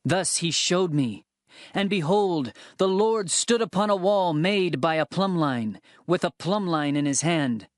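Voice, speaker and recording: A man's voice: a little high, expressive, a little slow, no room sound, studio quality. An actor playing an earnest, bold herdsman prophet, declaiming in a dramatized scripture narration. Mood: solemn, narrating. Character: earnest male prophet Amos, herdsman turned bold messenger.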